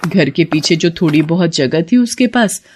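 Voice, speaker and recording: A woman's voice: sits low, animated, normal speed, a little mellow, husky. A woman storyteller reading a scripted children's tale as narrator.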